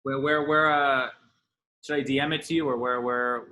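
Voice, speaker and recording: A man casually co-hosting a podcast, with somewhat halting phrasing. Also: mid-range voice, steady tone, sharp tone. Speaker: podcast co-host.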